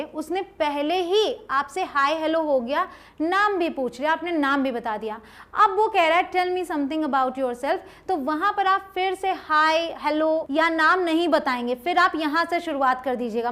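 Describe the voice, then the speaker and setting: A woman with a high voice gives an online lesson in spoken English. She explains step by step and slows down to say each model sentence clearly. She keeps checking that her viewers are following. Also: a bit bright. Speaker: English teacher.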